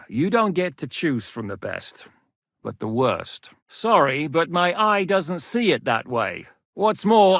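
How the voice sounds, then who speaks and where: A man's voice: fairly high pitch, fairly bright tone, no echo. A man voicing a hardened warlord commander in a video game, blunt and weary, cursing freely. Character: hardened male warlord commander, gruff and wary. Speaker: game character voice lines. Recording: dry studio voice recording.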